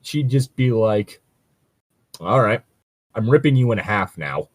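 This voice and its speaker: Rambling man with a low, crisp voice. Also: lively intonation, measured pace, no echo. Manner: rambling, frequently swearing. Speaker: co-host reading and discussing stories. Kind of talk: casual chat about tabletop role-playing.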